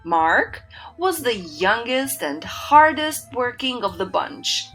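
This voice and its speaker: A woman narrating a children's fairytale in an expressive storytelling manner. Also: a little high, slow pace, faint music behind, low-quality recording.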